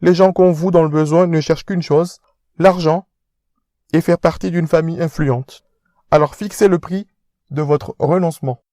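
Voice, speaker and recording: A sneering rich young fiancé, played by a man talks down from a high, thin register, every line pompous and stiffly formal. The sound is dry and close, like a home studio. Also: steady tone, moderate pace, mellow, no room sound, close to an everyday voice. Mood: scornful. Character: haughty rich young fiancé, Charles de la Tortue. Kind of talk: independent audio drama acting.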